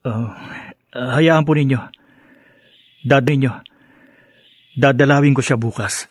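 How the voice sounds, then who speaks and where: An actor playing a long-suffering young husband and dutiful son, earnest and weary, in radio drama style. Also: male voice, mid-range voice, fairly even intonation, takes it slowly, no echo, clean studio sound. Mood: subdued, concerned.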